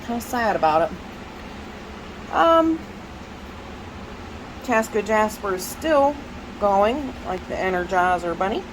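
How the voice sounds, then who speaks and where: A woman's voice: mid-range voice, very animated, takes it slowly, long pauses, noisy background. A woman with a Southern US accent and a stuffy head cold, rambling casually with many fillers as she walks through her plant shelves. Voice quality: nasal when bending over. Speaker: orchid grower giving a plant tour. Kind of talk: casual walk-through vlog narration.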